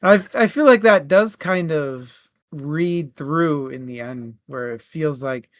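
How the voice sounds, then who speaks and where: A man's voice: a little high, takes it slowly, no echo, clean studio sound. A man co-hosting a book club, talking casually through a book and animatedly retelling parts of it.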